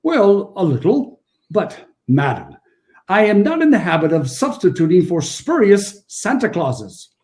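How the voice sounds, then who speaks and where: An actor playing a dignified, easily indignant old gentleman with a formal, scolding manner in a radio play. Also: high-pitched, very animated, measured pace. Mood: reluctant, dignified. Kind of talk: 1940s-style radio play acting.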